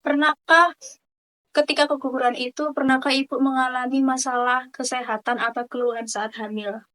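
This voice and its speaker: A woman's voice: high-pitched, flat delivery, moderate pace, a bit bright, echoey room. A woman interviewing a mother, reading polite questions with small hesitations. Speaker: student interviewer.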